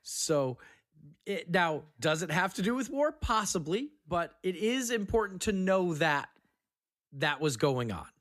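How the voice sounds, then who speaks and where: A man's voice: sits high, sing-song, a little slow, a bit bright. A man hosting a casual podcast chat, leading the others through a guessing game.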